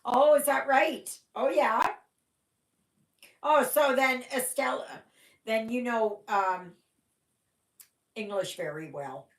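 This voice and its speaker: A woman's voice: on the high side, takes it slowly, pauses often, roomy sound. A Canadian woman whose first language was German, hosting a casual stitching livestream and chatting in a rambling way full of "oh my gosh".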